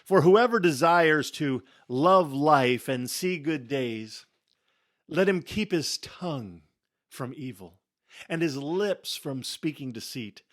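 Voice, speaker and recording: Guy doing a solemn dramatic bible reading, really slow w big pauses, very dry close sound. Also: fairly high pitch, expressive, no echo. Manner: solemn, emphatic, with deliberate pauses and hushed phrase endings. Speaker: scripture reader.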